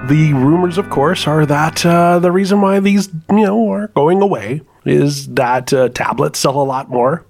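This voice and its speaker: A man's voice: fairly high pitch, a little slow, vocal fry, few pauses, a little mellow, faint music behind. A man co-hosting a casual tech podcast, breaking into mock-pompous speeches. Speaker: podcast co-host.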